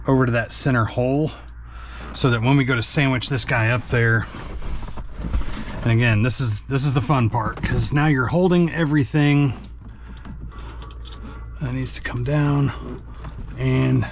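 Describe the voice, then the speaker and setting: A man's voice: mid-range voice, fairly even intonation, measured pace, pauses often, a little hiss or hum. A man giving a casual hands-on tutorial in a plainspoken way, thinking aloud as he works. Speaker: host and truck driver demonstrating an installation.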